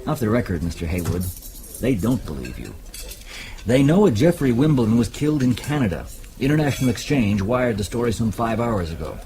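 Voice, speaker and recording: An actor playing a courteous, probing journalist in a radio drama, confiding and measured. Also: male voice, medium pitch, expressive, warm tone, a little hiss or hum, lightly acted. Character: smooth, probing American male journalist.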